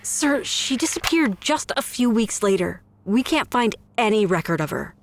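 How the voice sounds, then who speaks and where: A woman's voice: fairly high pitch, big pitch swings, normal speed. A woman playing an earnest, polite young woman. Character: earnest young dental office worker.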